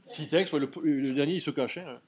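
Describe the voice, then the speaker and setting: A man's voice: fairly high pitch, a little fast, darkish tone. A man giving a seminar lecture, explaining step by step and putting questions to his audience. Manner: didactic, addresses audience directly with questions.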